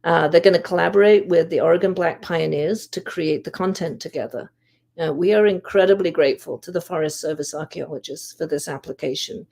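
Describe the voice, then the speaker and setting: A woman presents prepared research in a measured way, leaving deliberate pauses. Her voice sits fairly low and has a strong gravelly creak. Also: darkish tone. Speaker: volunteer history investigator presenting research.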